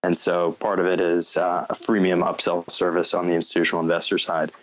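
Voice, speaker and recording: An American man answering interview questions and explaining a business, often pausing and repeating words as he picks his phrasing. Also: sits low, monotone, lo-fi sound. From North Carolina, United States. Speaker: guest, fintech company founder.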